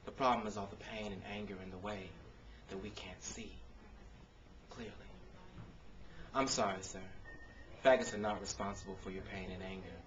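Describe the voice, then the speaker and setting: American guy doing spoken word, expressive delivery, kinda muffled camcorder audio w a bit of noise. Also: fairly low pitch, normal speed, pauses often. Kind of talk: spoken word poetry reading with casual introductions. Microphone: camcorder microphone. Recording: dull, noisy consumer camcorder sound.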